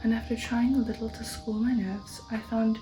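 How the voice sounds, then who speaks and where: Woman, ASMR storyteller, soft breathy whisper, slightly high, mellow, slow hushed literary reading, close mic. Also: level tone, a little hiss or hum, poor audio. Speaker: ASMR storyteller reading a gothic novel aloud. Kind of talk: ASMR audiobook narration.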